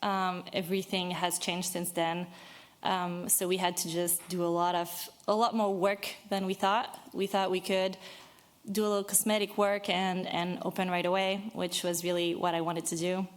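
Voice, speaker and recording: A woman's voice: fairly low pitch, little pitch movement, moderate pace. French woman from brittany, 30s, earnest and kinda nervous, lots of ums, talking to a city board. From Brittany, France. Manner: earnest, a bit nervous, many fillers. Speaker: business owner addressing a city board. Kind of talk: public comment at a meeting.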